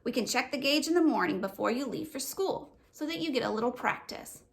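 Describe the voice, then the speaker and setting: Woman doing a helpful storybook dad, upbeat and reassuring, pitched up high. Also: expressive, a little fast, gravelly. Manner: reassuring, agreeable. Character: helpful, upbeat father at family dinner. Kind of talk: children's chapter book read-aloud.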